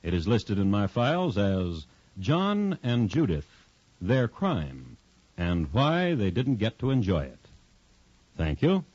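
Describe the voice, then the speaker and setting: A wry host of a true-crime radio series, with a low voice and expressive swings. He narrates deliberately and dramatically. It is a muffled 1950s broadcast. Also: no echo, full character voice. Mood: dry, wry. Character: wry, measured male crime chronicler hosting a radio series. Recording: dull, noisy 1950s radio recording.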